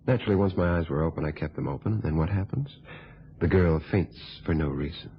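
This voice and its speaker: An actor playing a cool, calculating blackmailing reporter in a radio thriller, with a husky voice and a smug, sardonic way of telling things. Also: male voice, low-pitched, full character voice. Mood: sly, expository. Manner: smug, sardonic, unhurried storytelling. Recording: dull, lo-fi old radio recording.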